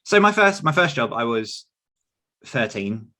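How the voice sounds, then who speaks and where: A man's voice: mid-range voice, very animated, unhurried, pushed and bright. A British man telling casual personal anecdotes as an interview guest, chatty and self-effacing, often thinking aloud. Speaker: podcast guest and author.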